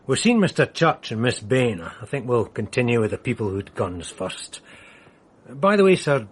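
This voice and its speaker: A dogged police sergeant in a radio mystery. His voice is middle-pitched and a little husky. He stays businesslike and deferential, with an unamused, wry streak. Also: very animated, normal speed. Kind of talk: radio mystery drama acting.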